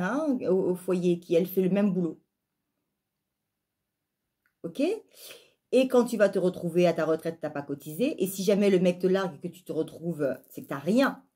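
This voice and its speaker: Husky, mellow woman with southern French accent. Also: on the low side. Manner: emphatic, rhetorical questions and repetition. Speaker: life coach and spiritual vlogger. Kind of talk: opinionated spoken monologue to viewers.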